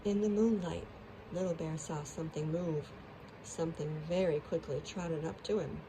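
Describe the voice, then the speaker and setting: A woman's voice: low-pitched, steady tone, normal speed, dark tone, slight background noise, poor audio. A woman storyteller narrating a children's storybook expressively.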